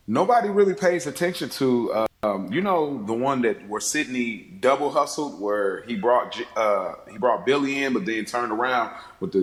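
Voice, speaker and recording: A man speaking as a podcast guest in an informal, rambling film discussion, recorded with a roomy echo. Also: lowish voice. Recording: roomy, echoing sound.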